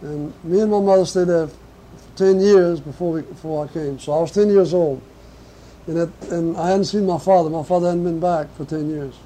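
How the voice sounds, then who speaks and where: Older man with a high, very dark voice. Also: slight background noise, poor audio. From Portugal. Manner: halting, reflective, slow recall. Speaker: interviewee. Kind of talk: oral history reminiscence.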